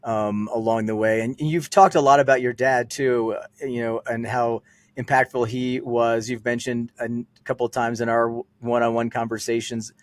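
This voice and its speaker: A man's voice: mid-range voice, little pitch movement, normal speed, dry recording. A man hosting an interview podcast, easygoing and loose, with many fillers. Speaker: podcast host. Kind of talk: interview, casual chat.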